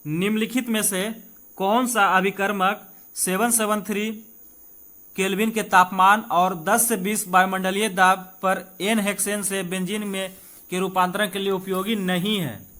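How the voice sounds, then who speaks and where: A man's voice: sits high, fairly bright tone. A man with an Indian accent teaching an exam-prep lesson informally, repeating key points and checking in with listeners. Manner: reads questions slowly and deliberately in English, then explains in Hindi. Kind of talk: exam-prep chemistry lesson, explaining questions aloud.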